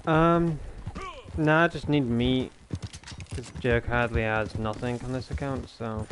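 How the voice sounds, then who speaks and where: A man's voice: mid-range voice, fairly even intonation, measured pace. Chill young guy streaming, headset mic, faint hiss behind. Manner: loose, joking, talks to chat. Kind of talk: casual gaming stream chat. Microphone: gaming headset.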